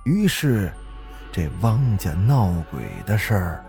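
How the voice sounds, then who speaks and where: A man's voice: lowish voice, slow, faint music behind. A man hosting and narrating a ghost story in a dramatic, suspenseful manner. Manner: suspenseful, expressive. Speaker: host and storyteller.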